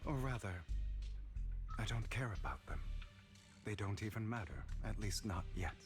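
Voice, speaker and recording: A male actor playing a wounded, resolute young man in a video game, quietly bitter and measured, with whispered turns. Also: on the low side, expressive, lightly acted. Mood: pained, bitter. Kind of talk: dramatic video game voice acting. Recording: clear background music from game.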